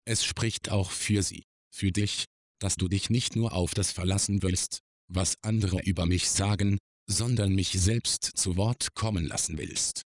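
An actor playing a wry, self-reflective man giving a deliberate, formal monologue in an audio drama. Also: low voice, steady tone, no room sound, studio quality.

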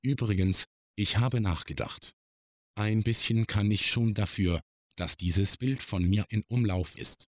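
A man performing a reflective middle-aged man's literary radio-play monologue, philosophical and measured. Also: on the low side, no room sound, close to an everyday voice. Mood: musing. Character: reflective middle-aged man musing on his own life.

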